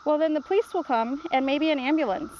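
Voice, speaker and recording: A woman's voice: sits high, fast, muffled recording, slight background noise, close to an everyday voice. A woman voicing a patient, reassuring grandmother who explains things simply in a children's storybook read-aloud. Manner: reassuring, instructive.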